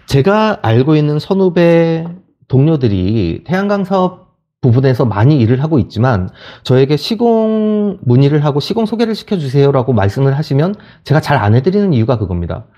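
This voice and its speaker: A man's voice: a little high, sing-song, normal speed, warm tone. A man hosting a channel gives opinionated news commentary in an explanatory, emphatic manner, often posing rhetorical questions, on a slightly muffled recording. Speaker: channel host and solar industry worker.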